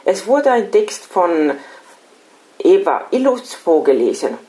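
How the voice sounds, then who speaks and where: A woman's voice: mid-range voice, big pitch swings, slow, warm tone. Echoey lecture by Estonian-accented woman. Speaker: lecturer, special-needs educator. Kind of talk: scripted lecture read aloud. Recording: echoey room sound.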